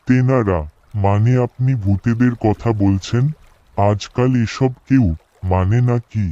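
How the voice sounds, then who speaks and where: A man playing a polite, sceptical educated traveller in a Bengali cartoon, speaking in a measured way with a Bengali accent on a slightly dull recording. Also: lowish voice, warm tone, dry recording.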